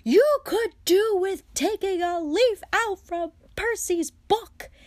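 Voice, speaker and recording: A woman voicing a scolding, fussing but kind-hearted mother in a storybook reading, with loud, emphatic delivery. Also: medium pitch, very animated, normal speed, no room sound. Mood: furious.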